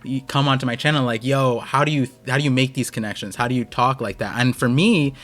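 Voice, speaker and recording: A man's voice: medium pitch, fast talker, a bit bright. A man hosting a stream, talking casually and rambling with lots of restarts. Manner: rambling, many fillers and restarts. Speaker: host.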